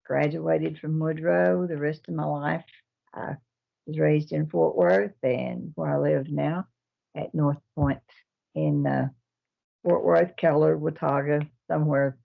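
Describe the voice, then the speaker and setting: An older woman from Texas, a care home resident answering interview questions in a slow, halting way with many fillers. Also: sits low, steady tone, vocal fry. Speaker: nursing home resident and resident council president. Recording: dull lo-fi recording.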